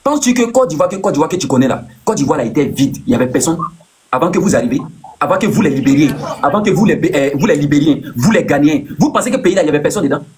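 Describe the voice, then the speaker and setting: A man's voice: fairly high pitch, expressive, rapid-fire, darkish tone. A man hosting a heated live debate, repeating himself and cutting in to press his point. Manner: insistent, repeats words, cuts in. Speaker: live stream host.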